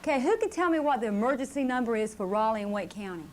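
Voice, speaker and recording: A woman's voice: a little high, expressive, fast talker, darkish tone. A woman giving a simple safety lesson to a live group of children, checking with questions as she explains. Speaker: fire safety educator. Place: live puppet show presentation. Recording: faint noise on VHS tape.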